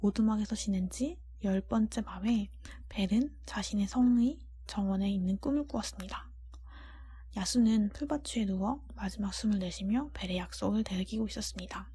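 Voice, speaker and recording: Very breathy whispering woman reading a story. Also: mid-range voice, normal speed, warm tone, dry recording. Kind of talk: ASMR book reading, scripted narration.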